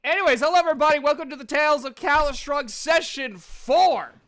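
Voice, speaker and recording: A man hosting a tabletop role-playing session as game master, talking in a playful, animated, casual way with some swearing. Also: very high-pitched, brisk.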